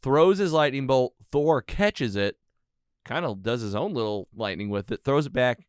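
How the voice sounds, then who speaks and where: A man's voice: medium pitch, expressive, normal speed, clear, resonant tone, dry recording, studio quality. A man hosting a casual film recap chat, retelling scenes and acting out bits of dialogue as he goes. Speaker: podcast host leading a movie recap.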